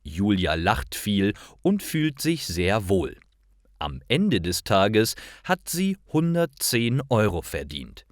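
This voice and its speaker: A man reading simple stories for language learners as a scripted narration, in careful, clearly separated short phrases. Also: fairly low pitch, very animated, takes it slowly, dry recording, studio quality.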